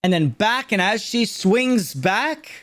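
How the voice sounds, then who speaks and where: A man's voice: high-pitched, big pitch swings, takes it slowly, a bit bright. A man giving casual, slangy livestream reaction commentary, thinking aloud as he goes. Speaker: reaction streamer.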